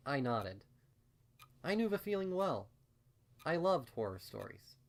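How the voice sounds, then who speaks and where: A man's voice: fairly high pitch, very animated, unhurried. A man reading fan fiction aloud as a first-person story narration.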